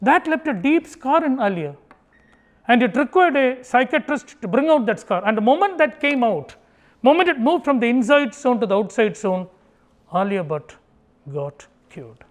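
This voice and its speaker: A man's voice: very high-pitched, moderate pace. A man from Kerala with an Indian English accent, giving a conversational public talk to an audience. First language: Tamil. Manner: animated, acts out family dialogue in Tamil. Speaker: speaker giving a motivational talk. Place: auditorium.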